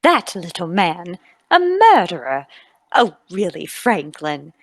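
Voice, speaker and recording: A woman playing a refined, tender lady of the manor with a measured, dramatic delivery in a radio play table read. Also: fairly low pitch, huge pitch swings, a little hiss or hum, full character voice. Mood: gracious.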